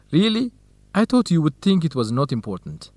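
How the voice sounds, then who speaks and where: Animated, earnest young man. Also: a little high, moderate pace, dry recording. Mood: friendly, earnest. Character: earnest, modest young Ethiopian male research partner and interpreter. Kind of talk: educational radio drama acting.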